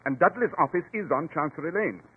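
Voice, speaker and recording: An actor in a 1940s radio drama playing a shrewd, courteous English detective, formal and self-assured, with a British accent. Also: male voice, fairly high pitch, lively intonation, fairly quick, slight background noise, close to an everyday voice. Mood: assured, explaining. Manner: precise, formal, authoritative. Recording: muffled, tinny 1940s radio recording.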